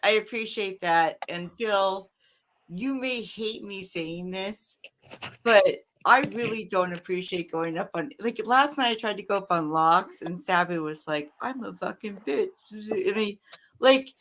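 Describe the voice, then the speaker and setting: A woman's voice: mid-range voice, measured pace, fairly bright tone. A woman on a casual stream panel who answers bluntly and swears freely. Her voice sits mid-range for a woman, with a faint hiss behind it. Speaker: panel guest. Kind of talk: casual livestream banter.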